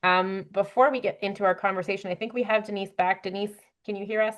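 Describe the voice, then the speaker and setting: A woman's voice: mid-range voice, steady tone, quick pace. A woman moderating a panel discussion as its host, introducing and questioning the guests.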